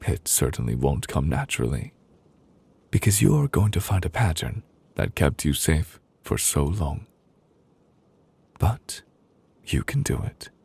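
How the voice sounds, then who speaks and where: A man playing a gentle, protective male lover in an intimate ASMR roleplay, soothing and deliberate, with soft pauses and close-up delivery. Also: a bass voice, big pitch swings, gravelly, breathy edge, heavily acted. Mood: comforting, encouraging. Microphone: close, dry studio microphone.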